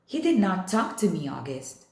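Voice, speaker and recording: Woman playing an animated, earnest young schoolgirl. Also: fairly low pitch, normal speed, gravelly, roomy sound. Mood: defensive. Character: young schoolgirl, friendly, earnest, quick to bristle.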